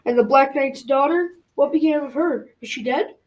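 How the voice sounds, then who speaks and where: A woman's voice: sits high, normal speed, voice pushed up, natural voice, poor audio. A young female student playing a proud, bold prince knight in an amateur school radio drama, declaiming emphatically. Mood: concerned. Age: child or teen.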